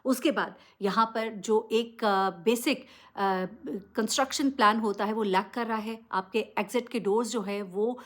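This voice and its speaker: A woman's voice: fairly high pitch, a little slow, few pauses. Emphatic woman giving news commentary. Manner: emphatic, direct address with rhetorical questions. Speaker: vlogger presenting news commentary. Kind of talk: unscripted news commentary to camera.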